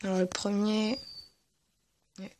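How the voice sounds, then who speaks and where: A gaming video creator giving casual, hesitant and rambling commentary over gameplay, speaking in a medium-high voice. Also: flat delivery, slow, darkish tone, slight background noise. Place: at home, voice-over on mobile gameplay recording.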